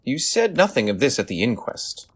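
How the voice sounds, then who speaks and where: An actor playing a brisk, sceptical Victorian detective in an audio drama, speaking in a formal, inquisitive way. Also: male voice, mid-range voice, very animated, husky. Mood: probing, sceptical.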